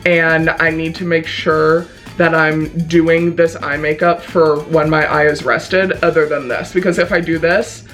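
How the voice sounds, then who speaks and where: Bubbly Midwestern American woman, low voice. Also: a bit bright. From Chicago. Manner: bubbly, playful, self-mocking. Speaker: video host. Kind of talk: casual chat while doing makeup.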